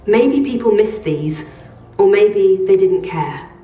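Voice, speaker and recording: An actress playing a guarded, exacting trader in a post-apocalyptic video game, speaking in a measured, terse, matter-of-fact way. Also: female voice, medium pitch, expressive, warm tone. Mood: reserved. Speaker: quest-giving trader. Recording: roomy, slightly distant, music behind.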